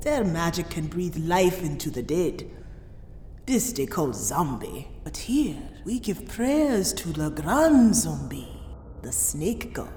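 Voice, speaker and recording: Slow, imperious voodoo queen in echo. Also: lowish voice, very animated, warm tone, a little hiss or hum. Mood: warning, insistent. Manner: cryptic, imperious, folksy dialect grammar. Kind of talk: radio drama acting. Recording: roomy echo, long reverb, music underneath.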